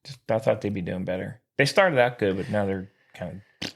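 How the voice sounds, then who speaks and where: A man's voice: on the low side, a little fast, vocal fry. A man co-hosting a podcast in casual, slangy sports banter. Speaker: podcast co-host.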